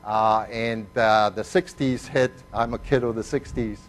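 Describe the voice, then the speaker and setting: An older man from Argentina, a native Spanish speaker, gives a chemistry lecture with a mixed foreign accent. He is digressive and self-deprecating and pauses often on "uh.". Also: fairly low pitch, steady tone, slight background noise. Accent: mixed foreign accent, Spanish first language. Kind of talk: university lecture.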